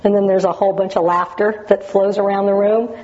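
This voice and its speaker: A woman with a naturally loud voice reading a passage dramatically with up-and-down intonation, then sharing her memories in a reflective way. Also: medium pitch, level tone, fairly quick, dull audio. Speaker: Zen practitioner sharing memories of a teacher.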